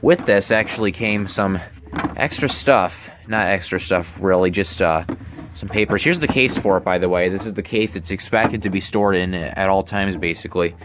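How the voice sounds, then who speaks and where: A young man giving a casual show-and-tell, talking haltingly and often repeating his words. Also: sits low, background noise. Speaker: hobbyist presenter. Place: bedroom, indoors. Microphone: handheld camera, close behind it.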